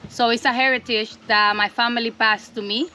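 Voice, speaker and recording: A woman's voice: fairly high pitch, steady tone, fairly quick, bright, forceful tone, a little hiss or hum. A woman from the Dominican Republic, a native Spanish speaker with a Dominican accent, earnestly explaining her cacao farm in simple English. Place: outdoors on a farm.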